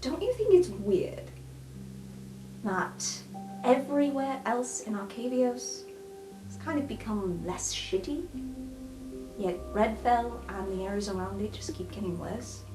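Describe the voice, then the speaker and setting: A woman's voice: a little high, animated, a little slow, long pauses. A woman voicing an earnest, inquisitive young tiefling student who asks probing questions in an informal, hesitant way. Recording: roomy sound with music behind. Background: music.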